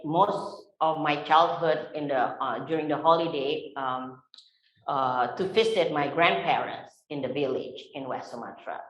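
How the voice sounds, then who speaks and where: A woman from Indonesia with an Indonesian accent, telling her life story as a panelist in an unhurried way with many fillers. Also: deep voice, dull audio. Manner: unhurried, frequent fillers, warm laughs. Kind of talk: personal storytelling at a symposium. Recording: echoey lecture hall.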